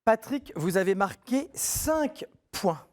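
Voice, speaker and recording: A man hosting a televised quiz show, reading questions clearly and encouraging contestants in a friendly way. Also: high voice, big pitch swings, slow, over music.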